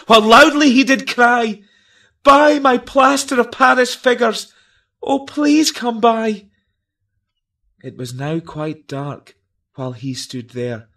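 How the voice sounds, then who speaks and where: A man performs narrative verse in a declamatory, melodramatic style. His voice is fairly high and very animated, moving slowly and deliberately through the theatrical lines. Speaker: performer reciting a narrative poem. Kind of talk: theatrical dramatic verse recital.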